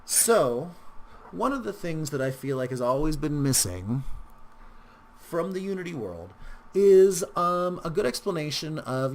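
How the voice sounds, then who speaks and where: Casual man with gravelly fry, rambling tutorial. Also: on the high side, darkish tone, slight background noise. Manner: rambling, informal, with wry asides. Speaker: tutorial host. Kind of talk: casual screen-recorded software tutorial.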